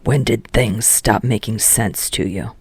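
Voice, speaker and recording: Guy voicing a gruff raspy teen boy, short fuse, swears a lot, audiobook dialogue, dry sound. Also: on the low side, measured pace, no echo. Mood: exasperated. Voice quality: gravelly, growling. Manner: gruff, blunt, scolding. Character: brash, foul-mouthed, short-tempered teenage boy tutoring a classmate. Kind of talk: acted dialogue in fan fiction audiobook.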